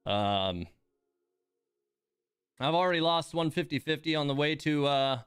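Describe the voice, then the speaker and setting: A man with a mid-pitched voice commentates casually over his own gameplay on a livestream. He mutters to himself and grumbles, dropping mild swear words as he goes. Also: fairly bright tone, clean tone, no echo, studio quality. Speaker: livestreamer playing a game.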